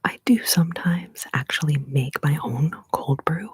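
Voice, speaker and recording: A woman whispering softly in an ASMR video, playful and chatty. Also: deep voice, unhurried, no echo. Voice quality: whispered, soft breathy delivery. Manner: playful, giggly, chatty asides between repeated trigger words. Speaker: ASMR host. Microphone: close sensitive mic.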